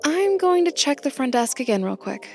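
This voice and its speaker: A woman's voice: high voice, very animated, normal speed, creaky, faint music behind, heavily acted. A woman playing a curious, wary young newcomer in an audio drama, conversational and inquisitive as she explores. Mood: exploring, intent. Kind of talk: audio fiction podcast acting.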